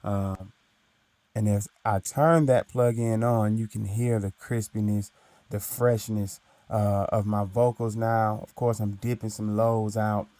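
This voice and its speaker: A young man with a deep voice casually explaining recording gear, talking into his studio mic and tagging his points with "right?". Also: fairly even intonation, unhurried, warm tone, no room sound. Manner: explains loosely with frequent "right?" and "uh". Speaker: recording engineer teaching. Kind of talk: casual tutorial walkthrough. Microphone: studio microphone through his interface.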